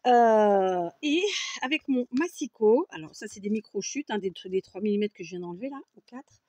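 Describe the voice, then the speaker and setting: A woman's voice: medium pitch, lively intonation, moderate pace, warm tone, no echo. A French woman hosting a casual craft tutorial, chatting and often checking in with her viewers with "d'accord?". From France. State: runny nose. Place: home craft table with a fan running.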